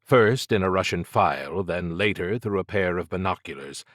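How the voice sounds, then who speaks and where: A man narrating scripted fiction as an audiobook, with measured, dramatic storytelling. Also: deep voice, lively intonation, fairly bright tone, dry recording, studio quality.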